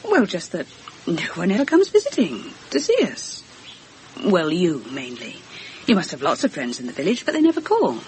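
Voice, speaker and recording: A woman's voice: fairly low pitch, wildly swooping pitch, moderate pace, a little mellow, a little hiss or hum. An actress playing an inquisitive, sensitive, genteel woman in a radio drama, speaking in articulate, earnest, literary phrases. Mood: probing. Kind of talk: radio drama narration and dialogue.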